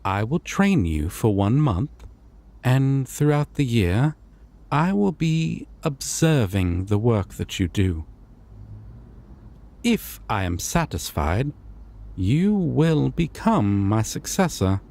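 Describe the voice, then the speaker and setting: A man playing a courteous, solemn god of dreams in a fantasy audio roleplay, with formal, deliberate phrasing. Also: mid-range voice, very animated, vocal fry, darkish tone, exaggerated voice. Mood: calm, formal.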